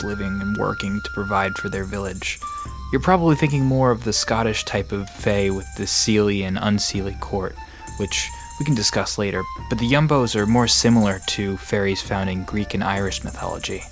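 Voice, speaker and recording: A man's voice: on the low side, normal speed, lightly acted. An actor playing a friendly, knowledgeable young man who explains folklore in an easygoing, reassuring way in an audio drama. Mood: easygoing, explanatory. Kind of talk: conversational audio drama acting. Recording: music and crickets behind voice.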